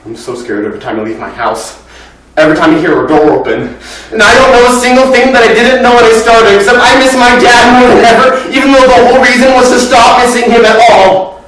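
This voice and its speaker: A man's voice: mid-range voice, quick pace, pitched below the performer's own voice, natural voice. A young man performing a dramatic monologue as a grieving, anxious, talkative boy, with an earnest, intense delivery, recorded in a very echoey room far from the mic. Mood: anguished. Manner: earnest, intense, breathless run-on telling that swells from hushed to loud. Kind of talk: dramatic monologue at a speech competition.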